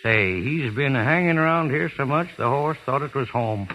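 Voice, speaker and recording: Casting: good-natured, halting American cowhand, animated intonation, noisy 1930s radio drama. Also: male voice, mid-range voice. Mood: earnest. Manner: folksy, halting. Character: simple, good-natured cowhand, blurting and awkward.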